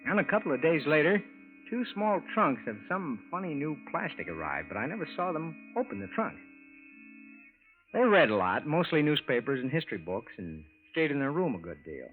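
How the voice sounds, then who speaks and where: Folksy American husband, hesitant, lively pitch, 1940s radio acting, narrow vintage sound with music. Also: male voice, moderate pace. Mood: folksy narrating. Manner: hesitant, plain-spoken, folksy. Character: puzzled, folksy husband and landlord narrating his story.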